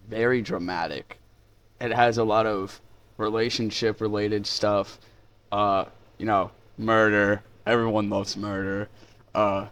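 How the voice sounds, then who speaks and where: A man hosting a casual, unscripted video review in an informal, enthusiastic, rambling way. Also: fairly low pitch. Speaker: YouTube reviewer, host.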